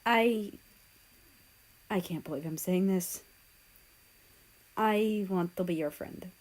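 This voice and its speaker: Woman reading as guarded, slow-spoken young loner man. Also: on the low side, darkish tone, low-quality recording. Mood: hesitant. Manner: hesitant, blunt.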